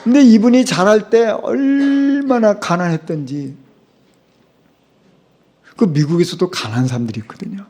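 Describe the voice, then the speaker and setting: Pastor guy preaching, highish voice, keeps asking the congregation questions, emphatic. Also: moderate pace, pauses often, darkish tone. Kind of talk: sermon. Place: church sanctuary.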